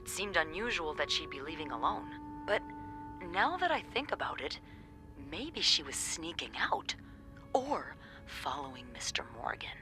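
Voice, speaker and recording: Low-voiced, chatty young receptionist. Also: female voice, normal speed, music in the background. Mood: gossipy, conspiratorial. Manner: chatty, playful slang, expressive. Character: chatty, wry young female hotel receptionist. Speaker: hotel receptionist character. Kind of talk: video game dialogue acting.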